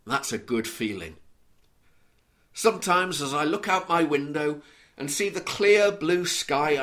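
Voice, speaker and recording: A man from Liverpool with a mild Scouse accent, preaching an earnest, measured sermon and reading scripture aloud. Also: a little high, a bit bright. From Liverpool, England.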